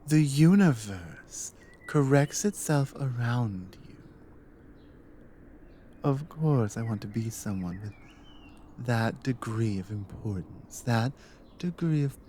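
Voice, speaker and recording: A woman acts out a devoted Nordic adventurer wife in an intimate ASMR roleplay. Her voice is soft, breathy and mellow, slipping often into whispers. She takes her time, teasing fondly, over faint background noise. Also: very deep voice, animated, full character voice. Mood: earnest admiring. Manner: teasing, unhurried, affectionate.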